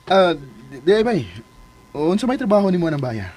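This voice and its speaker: A man's voice: sits high, big pitch swings, normal speed, darkish tone. Watchful, inquisitive Filipino man. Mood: curious, polite. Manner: watchful, self-narrating, inquisitive. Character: suspicious man secretly tailing a woman, inquisitive, furtive. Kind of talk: Philippine radio drama acting with spoken inner thoughts.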